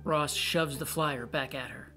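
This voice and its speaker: A man's voice: fairly high pitch, steady tone, measured pace, over music. A man reading stage directions as scripted narration for a play.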